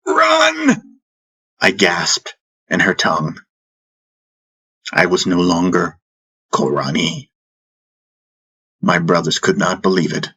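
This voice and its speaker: A man's voice: low voice, fairly even intonation, takes it slowly, pauses often, pushed and bright, breathy edge. A man narrating a fantasy audiobook in the first person, with intense, solemn, dramatic storytelling. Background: music bed.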